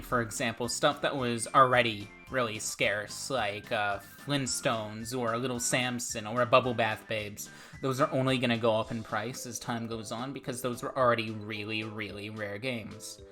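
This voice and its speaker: A man with a Portuguese accent co-hosting a casual podcast, relaxed and chatty, often repeating words. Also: mid-range voice. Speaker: podcast co-host. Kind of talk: casual chat.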